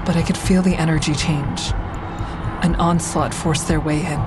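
An actress narrating as a woman who survived a train attack, telling it in a measured, reflective first person. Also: fairly low pitch, close to an everyday voice. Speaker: lead narrator of the drama. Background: music underneath.

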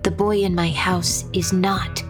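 An actress playing a troubled, pleading girl in an audio drama, speaking gravely. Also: lowish voice, level tone, a little slow, lightly acted. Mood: grave, uneasy. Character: troubled girl pleading about her changed brother. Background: music under the voice.

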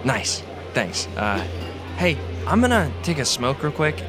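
A skeptical young man with a medium-pitched voice in an audio drama. He sounds offhand as he prods, with music clearly underneath. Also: sing-song, unhurried. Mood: casual, skeptical. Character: casual, skeptical young man secretly infiltrating rich kids.

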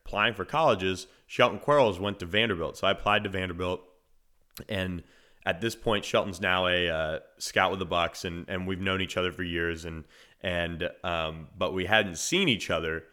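A man with a naturally deep voice, hosting a solo sports podcast in a conversational, analytical way, often restarting his phrases. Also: normal speed, sharp tone. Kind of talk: solo podcast commentary and storytelling.

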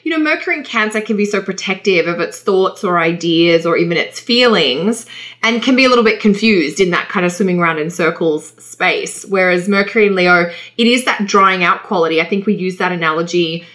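A woman with an Australian accent talks informally as a show co-host. Her tone is very bright and crisp-edged, though the pitch is slightly on the low side. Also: brisk. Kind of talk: casual discussion.

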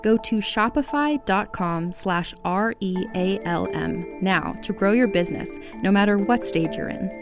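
A woman reads scripted podcast promos and sponsor spots. Her voice is mid-pitched, with a clear music bed running behind it. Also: a little slow, hardly any pauses.

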